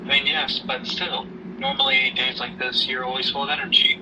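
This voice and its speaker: A man playing a caring, gently probing father in an amateur audio drama, with a halting, tentative delivery. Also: mid-range voice, far from the mic, background noise, natural voice.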